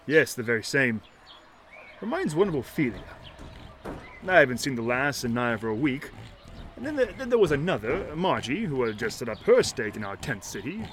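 An actor playing a kindly, fretful shantytown mayor with florid, old-fashioned speech in a noir audio drama. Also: male voice, medium pitch, big pitch swings, normal speed, a bit bright, a little hiss or hum. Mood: hesitant, worried. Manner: florid formal old-fashioned wording, stammers when worried.

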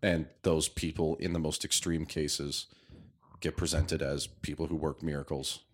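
Very deep monotone man, laid-back drawl. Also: unhurried. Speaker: podcast co-host. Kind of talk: casual discussion.

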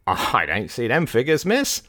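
A man's voice: medium pitch, huge pitch swings, a little slow, fairly bright tone, no room sound, clean studio sound, voice pushed up, exaggerated voice. A man voicing a rustic, muddled, servile asylum keeper in an audiobook, stammering in folksy dialect. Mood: servile, eager.

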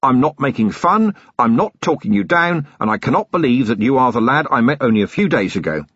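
A man's voice: mid-range voice, big pitch swings, brisk, a bit bright, husky, no echo, lo-fi sound, pitched above the performer's own voice, heavily acted. A man reading an audiobook, voicing a tough middle-aged male police commander who is stern and dryly sarcastic. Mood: hearty.

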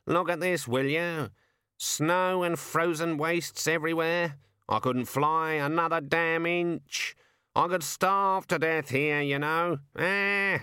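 Complaining male raven, higher voice, drawn-out whinge, read by a man. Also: fairly even intonation, bright, forceful tone, smooth, no echo, studio quality. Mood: self-pitying. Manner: whining, gloomy complaining. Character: grumbling talkative male raven, self-pitying.

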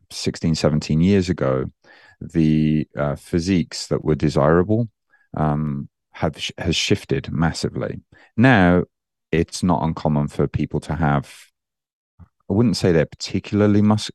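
A man with a Birmingham accent, a guest coach in a casual podcast interview, talking thoughtfully with frequent pauses and fillers. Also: very deep voice, darkish tone, no room sound, clean studio sound. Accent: Birmingham English. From England. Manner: thoughtful, many fillers and restarts. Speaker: guest, nutrition and training coach.

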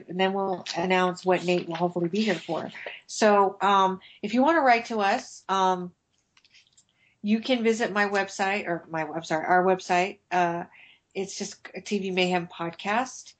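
A woman's voice: medium pitch, fairly even intonation, moderate pace. A woman co-hosting a casual podcast chat, stuffed up and tired, reading listener feedback aloud with small slips. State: stuffed-up nose. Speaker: podcast co-host.

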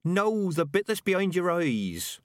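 A man's voice: sits high, very animated, unhurried, no room sound, clean studio sound, pitched above the performer's own voice. A man voicing a naive, earnest rat in an audiobook, insistently asking big questions. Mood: earnest, questioning. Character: naive, earnest philosophical young rat pondering the afterlife.

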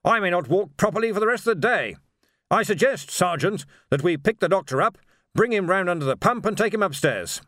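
A man voicing a blustering, bearded older male wizard in a loud, pompous manner. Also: high voice, lively intonation, fairly quick, no echo, clean studio sound, voice pushed up. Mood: indignant.

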